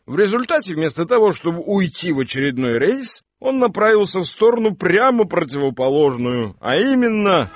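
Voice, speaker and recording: A man's voice: high voice, big pitch swings, moderate pace, hardly any pauses. A man narrating a story for a radio play in a dramatic, wry, colloquial way. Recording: music bed behind the voice.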